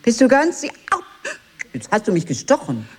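Husky, swooping storybook queen. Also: on the high side, wildly swooping pitch, normal speed, heavily acted. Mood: pained, cross. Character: vain, anxious storybook queen seeking reassurance. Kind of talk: radio play acting. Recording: long hall reverb, faint music behind.

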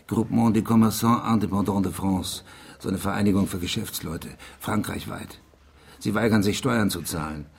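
An actor playing a middle-aged man with a gravelly, husky voice, urgently persuading an old friend in a radio drama. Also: on the low side, moderate pace. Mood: urgent, indignant.

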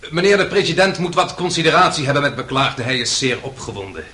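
An actor playing a shrewd, sardonic defence lawyer in a radio courtroom drama, formal and pointedly polite. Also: male voice, a little high, very animated, fast, bright, forceful tone. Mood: measured pleading.

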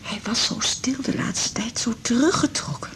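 An actress playing an anxious, bewildered middle-aged mother, fretful and halting, in a 1980s radio drama. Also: a little high, fairly bright tone, whispered, lightly acted. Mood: grieving, distraught. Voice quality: breathy, husky. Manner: fretful, repeating herself, halting.

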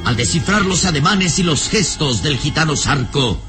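A man's voice: on the high side, animated, normal speed. A man narrating a radio serial in a dramatic, scripted storytelling style. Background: music.

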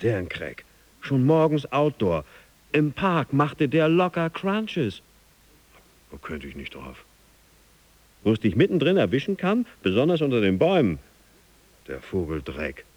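A cocky, competitive man at the gym, with a mid-pitched voice that swings up and down. He talks in clipped, slangy bursts sprinkled with English buzzwords, close and dry, like inner monologue in a radio play. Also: huge pitch swings, unhurried, pauses often, darkish tone, no echo. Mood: admiring. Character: boastful competitive male gym-goer sizing up rivals. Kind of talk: radio drama interior monologue.

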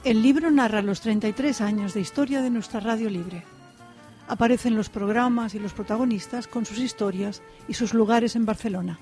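A woman's voice: fairly high pitch, quick pace, music in the background. A woman announcer narrating a scripted radio promo.

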